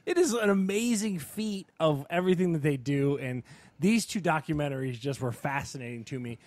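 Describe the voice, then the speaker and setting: A man's voice: a little high, fairly quick, a little mellow, no room sound. A man co-hosting a casual sports and entertainment podcast, talking in an enthusiastic, emphatic, rambling way. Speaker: podcast co-host.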